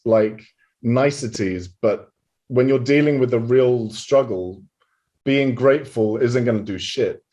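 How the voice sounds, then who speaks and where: A man's voice: mid-range voice, unhurried, mellow. A man hosting a podcast, talking casually, affirming his guest and swearing lightly. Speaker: podcast host. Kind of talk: casual interview chat.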